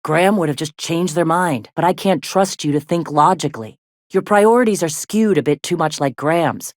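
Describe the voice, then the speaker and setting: Woman acting a gruff frontier rebel medic, close and dry. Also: low-pitched, normal speed, no echo, close to an everyday voice. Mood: stern, frustrated. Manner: folksy, blunt, drawling slang with swearing. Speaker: video game character, medic and deputy leader. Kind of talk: video game dialogue.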